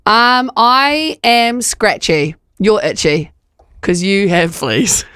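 A woman's voice: mid-range voice, slow pace, fairly bright tone, clean tone, no room sound, studio quality. A woman, hosting a drive-time radio show in playful banter. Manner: laughing, playful. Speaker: radio host. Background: music bed.

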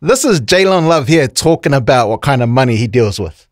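A man's voice: mid-range voice, expressive, brisk, noisy background. A man, co-hosting a casual podcast and telling stories with easy laughs. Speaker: podcast co-host.

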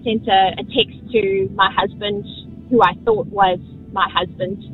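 A woman's voice: medium pitch, steady tone, unhurried, faint music behind. South african lady on the phone to the radio, um-ing a lot, muffled line. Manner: hesitant, many ums. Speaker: caller.

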